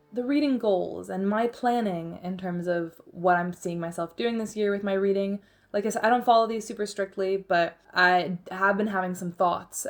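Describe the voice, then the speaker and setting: A young woman chatting casually as she narrates her own vlog, self-deprecating and full of filler words. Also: mid-range voice. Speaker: book vlogger.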